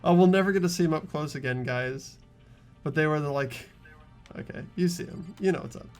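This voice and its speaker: A man's voice: on the high side, a little fast, faint music behind. A man hosting a video game playthrough, commenting in an informal, chatty way. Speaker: playthrough host and voice actor. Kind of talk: casual gameplay commentary with dialogue reading.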